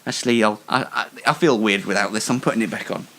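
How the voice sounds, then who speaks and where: A man's voice: on the low side, quick pace, a bit bright, a little hiss or hum. A man hosting a casual comedy show, rambling in a self-deprecating, mock-formal way. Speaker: show host.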